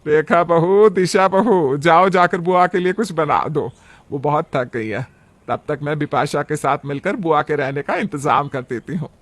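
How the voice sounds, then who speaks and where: Gentle middle-aged mother-in-law played by a man, conciliatory and instructive, cartoon family tale, slightly high, dry sound. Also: a little slow, no echo, lightly acted. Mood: gently instructing. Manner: soothing, instructive. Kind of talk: Hindi cartoon family story acting.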